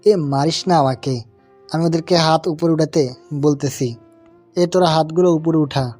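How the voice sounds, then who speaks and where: A man's voice: a little high, steady tone, normal speed, darkish tone, faint music behind, lightly acted. A man playing a gang henchman in an audio story. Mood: submissive, pleading. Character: male gang henchman, threatening, cowardly when cornered. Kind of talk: Bengali audio story acting.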